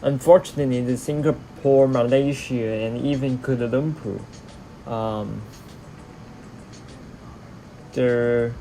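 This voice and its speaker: A man with a Hong Kong accent, a native Cantonese speaker, answering viewers' chat questions on a live stream in halting English, often repeating words as he searches for them. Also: mid-range voice, fairly even intonation, dark tone, a little hiss or hum, low-quality recording. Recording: music playing clearly behind.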